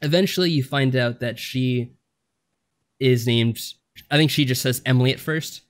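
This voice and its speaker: A man co-hosting a podcast, explaining things casually in a measured, mild and hedging manner. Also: medium pitch. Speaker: podcast co-host.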